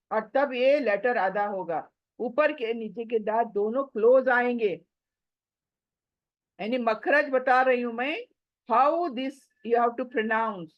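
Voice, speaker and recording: A woman teaching Quranic recitation, sounding out letters over and over and explaining them step by step, with English phrases mixed in. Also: a little high. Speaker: tajweed teacher. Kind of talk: slow explanatory recitation lesson. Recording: dull lo-fi recording.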